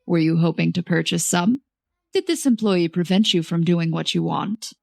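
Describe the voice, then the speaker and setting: A woman's voice: fairly low pitch, moderate pace, vocal fry, darkish tone, dry recording, clean studio sound, voice pushed down. Woman acting out a melodramatic, servile male store manager. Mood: solicitous. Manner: melodramatic, pleading.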